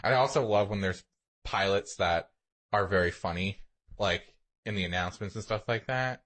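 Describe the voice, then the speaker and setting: A man's voice: on the low side, normal speed, fairly bright tone. A man co-hosting a podcast in casual comedic chat, reacting with exaggeration and putting on mock quotes. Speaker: podcast co-host.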